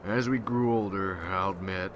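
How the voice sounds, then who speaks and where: Man playing low-voiced, haunted old-time vampire hunter. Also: fairly even intonation, noisy background, natural voice. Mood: reminiscing. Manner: slow, halting, somber folksy storytelling. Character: weary, haunted rural American Civil War veteran turned vampire hunter. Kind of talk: ASMR roleplay monologue.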